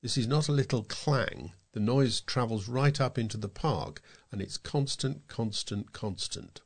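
A man reading scripted local news as a volunteer newsreader, in a measured read-aloud manner. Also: medium pitch.

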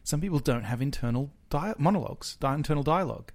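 A man's voice: fairly high pitch, expressive, fast talker. A man chatting casually on a podcast, often restarting sentences and trailing off as he works through a thought. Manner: hesitant, restarts and trails off while thinking aloud. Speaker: podcast co-host, musician. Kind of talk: casual chat.

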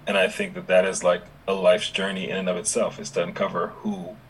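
A young adult man with no regional accent, chatting relaxed with many fillers as a podcast guest. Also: sits low, fairly quick, a little hiss or hum, low-quality recording. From Dominica, raised in Houston, Texas. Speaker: podcast guest, app founder. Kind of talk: casual interview conversation.

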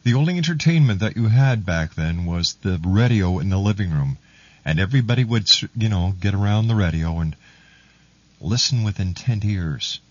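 A man's voice: on the low side, animated, moderate pace, no echo, dull audio. A man hosting a radio show in a casual presenting style.

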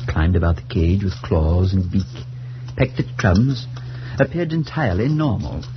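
An actor playing a grave, troubled priest who narrates in a measured, solemn 1940s radio suspense style. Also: male voice, low voice, noisy background. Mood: hushed dread. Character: grave, troubled male priest, shaken but reasoning. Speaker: narrator and character. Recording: dull 1940s radio recording with music.

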